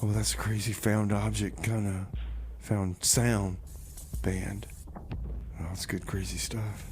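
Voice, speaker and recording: A man's voice: lowish voice, little pitch movement, slow, darkish tone, slight background noise. A man hosting a music radio show in a casual style, with comic banter.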